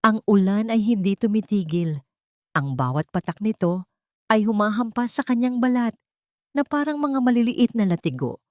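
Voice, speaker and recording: A woman's voice: mid-range voice, lively intonation, moderate pace, darkish tone, no room sound, studio quality. A woman with a Filipino accent reading a scripted dramatic love story in a solemn, melodramatic manner.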